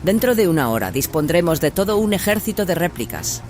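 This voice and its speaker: A man voicing an awed, earnest soldier in an audio drama, speaking with hushed urgency. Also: sits high, very animated, brisk, faint music behind, pitched above the performer's own voice. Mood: earnest excitement.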